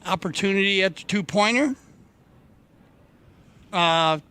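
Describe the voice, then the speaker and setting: A man's voice: high voice, unhurried, sharp tone. A man giving live polo match commentary in a loose, chatty style. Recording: clear background ambience noise.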